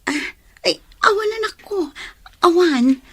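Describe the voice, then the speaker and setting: An actress playing a patient, long-suffering wife and mother in a radio drama, with a husky voice and an Ilocano accent. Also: very high-pitched, lively intonation, a little slow, sharp tone, heavily acted. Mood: tearful.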